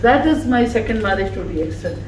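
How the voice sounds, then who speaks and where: A woman whose first language is Urdu giving a slow, heartfelt tribute speech at a farewell gathering. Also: mid-range voice, lively intonation. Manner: slow, warm, emphatic, laughing between phrases. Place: office gathering room. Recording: echoey room from far off, faint noise.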